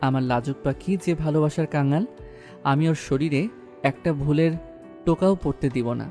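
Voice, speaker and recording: A man with a Bangladeshi accent playing a doting, protective young husband in an audio love story, tender and gently commanding. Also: fairly high pitch, moderate pace, faint music behind, close to an everyday voice.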